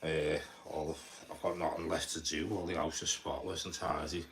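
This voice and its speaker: A man's voice: a bass voice, little pitch movement, normal speed, fairly bright tone. A young man with a Liverpool accent chatting casually to viewers on a live stream, rambling, repeating words and swearing offhandedly. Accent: Liverpool (Scouse). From Liverpool, England. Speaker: livestreamer. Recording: faint background noise.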